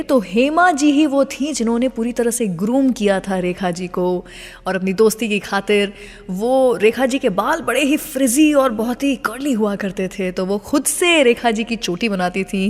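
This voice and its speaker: A woman's voice: mid-range voice, a little mellow. Indian woman radio host in long reverb. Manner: addresses listeners directly, with teasing questions; mixes English words and phrases into speech. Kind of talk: conversational radio presenting.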